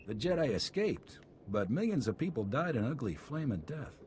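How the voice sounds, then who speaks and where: A jaded army captain played by a man briefing in a video game. His pitch moves expressively while the manner stays blunt and world-weary. Faint ambience sits behind him. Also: sing-song, normal speed, a little mellow, slight background noise, natural voice. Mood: grim. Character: gruff, cynical male military captain giving orders. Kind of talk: video game dialogue acting.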